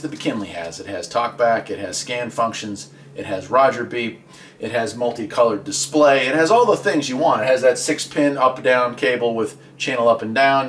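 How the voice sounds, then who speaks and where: A man's voice: medium pitch, fairly bright tone, a little hiss or hum. A man hosting a casual product review vlog, talking in an informal, conversational and opinionated way. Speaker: host, radio hobbyist reviewer. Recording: voice distant from the mic.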